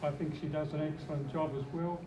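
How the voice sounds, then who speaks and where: An older man with a hoarse voice, speaking as a resident at a public meeting, blunt and rambling. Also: a little high, level tone, brisk, far from the mic. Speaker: resident asking questions. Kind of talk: public meeting question from the floor. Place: civic centre meeting hall. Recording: echoey hall, noisy audience.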